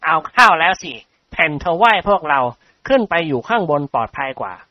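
A reader voicing a brash, bold young man with a gruff way of talking. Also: mid-range voice, sing-song, brisk, dry recording, lo-fi sound.

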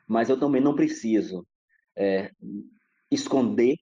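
A man's voice: medium pitch, a little slow, dark tone, no room sound. A Brazilian man talks as a podcast guest, circling his points with restarts and fillers. The sound is dry and a little muffled. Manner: discursive, many restarts and fillers. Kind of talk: reflective casual talk.

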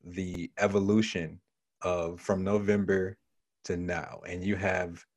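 A man's voice: low voice, little pitch movement, slow pace. A man hosting a podcast interview, asking long, winding questions full of restarts and repeated words. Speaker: podcast host. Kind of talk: conversational interview.

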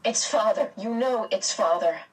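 Woman acting out a young girl, high-pitched, impatient, anguished, pleading, storybook character, slightly off-mic. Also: slow pace, recorded from a distance. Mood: impatient despair. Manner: shrill, pleading.

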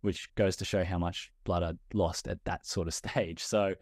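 A young Australian man, a guest in a podcast interview, speaking in a relaxed way. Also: deep voice, moderate pace, no room sound, clean studio sound. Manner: relaxed, many fillers and restarts. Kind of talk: conversational interview.

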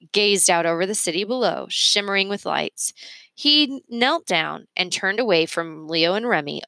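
A woman's voice: mid-range voice, sharp tone, dry recording. Woman reading a children's storybook aloud, dry sound. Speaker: storybook reader.

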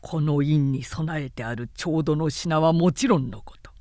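A man's voice: a little high, unhurried, a little mellow, no room sound, studio quality, natural voice. Woman performing a grave, commanding warrior general. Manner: grave, archaic warrior speech. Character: stern, loyal Chinese general, grave and commanding. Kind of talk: dramatic historical novel reading.

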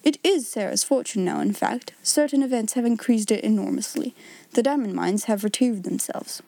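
A woman's voice: a little high, normal speed, gravelly, dry recording. A woman reading as a cool, level-toned lawyer. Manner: quiet, level, steady, rather cold.

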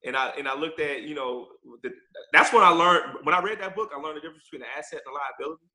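A man's voice: fairly high pitch, racing, a crowd in the background, rough recording. A man from Chicago, a real estate investor and guest on a podcast interview, talking energetically and repeating words as he thinks.

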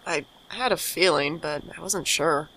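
A woman's voice: deep voice, a little slow, fairly bright tone, slight background noise, rough recording. A woman playing a young, caring friend with dry, casual banter in an audio drama. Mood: concerned. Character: young Micah, teasing, caring friend and host. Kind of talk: naturalistic audio drama acting.

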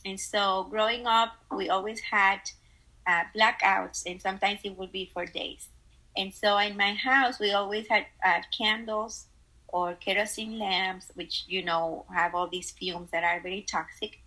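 A woman from the Dominican Republic, a company co-founder, telling stories earnestly as a guest in a casual podcast interview, with many pauses and "and so". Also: medium pitch, steady tone, bright, forceful tone, low-quality recording.

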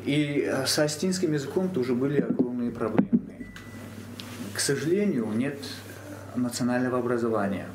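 Brief: male theatre actor, mid-range voice, earnest, deliberate, roomy sound. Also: mid-range voice. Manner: earnest, measured, with hesitations. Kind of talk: panel discussion. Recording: echoey room, faint background hum.

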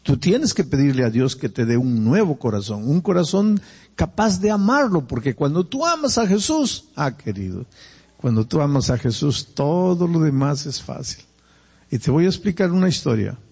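Older man, Peruvian Spanish accent, preacher, gravelly, long hall reverb. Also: fairly high pitch, normal speed. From Peru. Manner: animated, swings between loud emphasis and quiet asides. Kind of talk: sermon with personal stories.

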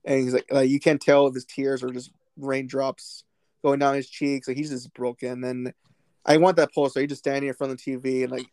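Flat-voiced excitable man rambling about a film. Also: mid-range voice, a little fast, no echo. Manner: excited, rambling with many restarts and fillers. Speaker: podcast co-host. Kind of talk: casual movie discussion.

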